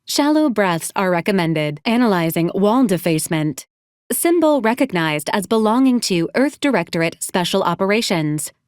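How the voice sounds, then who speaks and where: A woman voicing a polite, literal female robot assistant in a video game, speaking in formal, clipped, deadpan announcements. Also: medium pitch, normal speed, clean tone, no echo, clean studio sound, lightly acted. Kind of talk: scripted video game character lines.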